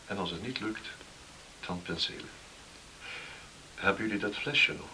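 An actor in a Dutch radio play playing a kindly, practical village doctor with a measured, reassuring manner. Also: male voice, on the low side, bright, forceful tone. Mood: calm, reassuring. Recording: noisy old radio play recording.

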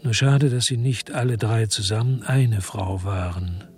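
A man's voice: on the low side, a little slow, a little mellow, soft background music, lightly acted. An actor playing a world-weary crime investigator in a radio drama, brooding and laconic as he narrates and talks. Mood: wry, weary.

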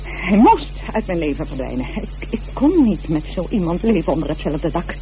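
Self-righteous mother, insistent, mellow tone, radio drama, faintly noisy recording. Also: on the low side, big pitch swings, normal speed. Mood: agitated, self-righteous. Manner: haughty, insistent, breaking into agitated self-justification. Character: defensive, self-righteous wife and mother accused of instigating murder.

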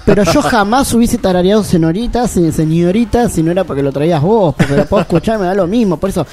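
A man's voice: high voice, fast talker, darkish tone, soft background music. A man co-hosting a radio show, teasing and blunt in casual banter. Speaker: radio co-host.

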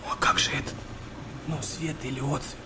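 Creaky, uneasy young sailor. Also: male voice, medium pitch, flat delivery, fairly quick, bright, forceful tone, a little hiss or hum. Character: anxious, superstitious young male wartime sailor. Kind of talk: Russian radio play acting.

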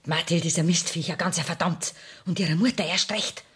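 An actress playing a fierce, devoted lover in a radio play, with a Bavarian accent and a very husky voice. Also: female voice, lowish voice, fairly even intonation, moderate pace, fairly bright tone. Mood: spiteful. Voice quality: very husky, breathy.

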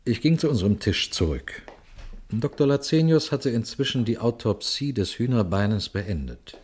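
An actor playing a calm, shrewd police detective in a German radio crime drama, speaking deliberately with dry irony. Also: male voice, mid-range voice, slight background noise, natural voice. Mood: measured narrating. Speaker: detective narrating his own case.

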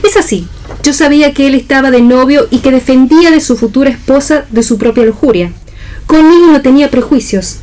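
A woman's voice: high-pitched, a little fast, a little mellow, lightly acted, low-quality recording. An actress playing a sensual, passionate, philosophizing woman in a theatrical radio reading. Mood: rapturous. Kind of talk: read-theatre stage acting on radio.

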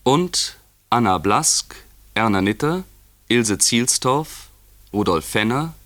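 A man working as a 1950s radio announcer, reading formal scripted credits in a measured, precise manner. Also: medium pitch, animated, fairly bright tone, no echo. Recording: dry 1950s radio studio recording.

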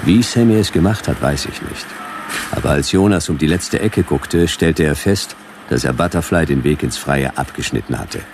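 Low-voiced laconic noir detective. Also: male voice, moderate pace, darkish tone, a little hiss or hum. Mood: watchful, dry. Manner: terse, dry, ironic, clipped sentences. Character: wry, laconic hard-boiled male private detective. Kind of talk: noir first-person narration in sci-fi radio drama.

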